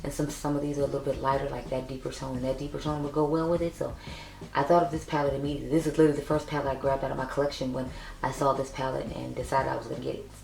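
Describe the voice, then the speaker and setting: A young woman with a raspy voice, chatting casually and upbeat as she reviews makeup for her vlog. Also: rumbling low, little pitch movement, racing, darkish tone. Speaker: beauty YouTuber. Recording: echoey room.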